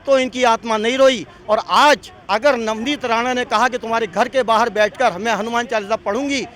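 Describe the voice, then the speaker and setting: A man's voice: very high-pitched, fairly even intonation, normal speed, fairly bright tone. An indignant man protester arguing combatively with a reporter outdoors, throwing out challenging rhetorical questions. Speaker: protester interviewed on the street. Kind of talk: combative political argument to a reporter. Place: outdoors on a city street.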